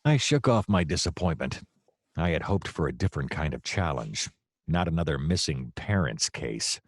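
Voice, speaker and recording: An actor playing a wry, easily distracted private detective, narrating in a long-winded deadpan comic noir style. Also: male voice, a bass voice, normal speed, no room sound, studio quality. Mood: grumbling, wry.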